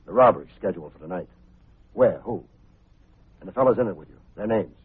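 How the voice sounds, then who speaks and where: An actor playing a wry, hard-boiled private detective in an old-time radio drama, speaking in a clipped, sardonic way. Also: male voice, deep voice, expressive, quick pace, slightly rough, full character voice. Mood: pressing, cool. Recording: muffled, phone-like old radio recording with faint music.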